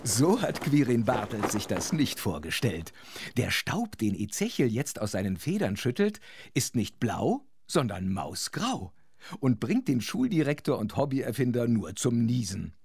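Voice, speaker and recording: A man narrating a children's audio story in a dramatic, scripted style with a husky voice. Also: mid-range voice, sing-song, measured pace, darkish tone, dry recording, clean studio sound.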